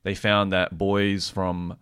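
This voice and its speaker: Man, podcast co-presenter and therapist. Very deep register, measured, explanatory, conversational delivery. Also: flat delivery, fairly bright tone, clear, resonant tone. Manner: measured, explanatory, tentative when giving opinions.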